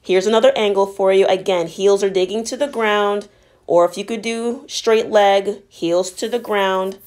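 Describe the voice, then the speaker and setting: A woman gives an instructional voice-over for a home workout, speaking at a medium pitch. She sounds like a fitness coach, explaining form and options in an encouraging manner. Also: fairly even intonation, moderate pace, a little mellow.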